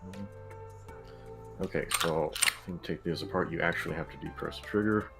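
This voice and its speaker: A man's voice: deep voice, moderate pace, gravelly, loud music behind the voice. A man streaming a casual hobby session, talking loosely to his chat with lots of fillers. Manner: laid-back, rambling with many fillers.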